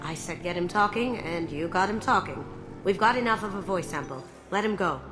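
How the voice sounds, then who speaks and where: Actress as a low-voiced, sly master thief. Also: female voice, animated, fairly quick, close to an everyday voice. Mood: conspiratorial. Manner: breezy, self-assured. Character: sly, confident, playful female master thief. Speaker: thief companion in a video game. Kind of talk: scripted game dialogue. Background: background music.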